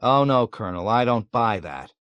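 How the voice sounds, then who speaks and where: A man's voice: mid-range voice, expressive, moderate pace, fairly bright tone, clear, resonant tone, dry recording, muffled recording, natural voice. A man voicing a defiant young male captain protesting in disbelief. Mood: incredulous.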